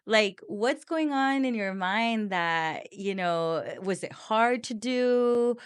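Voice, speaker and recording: A woman hosting a podcast interview, chatty and encouraging, with soft "mm-hmm" backchannels. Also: mid-range voice, animated, takes it slowly, smooth. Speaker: podcast host. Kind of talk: casual interview chat. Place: small podcast studio.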